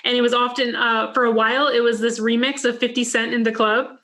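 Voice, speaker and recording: A chatty woman hosts a podcast interview, peppering her questions with "like" and relating her own experiences. Her voice sits fairly high. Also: flat delivery, brisk, fairly bright tone. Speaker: podcast host. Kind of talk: casual interview chat.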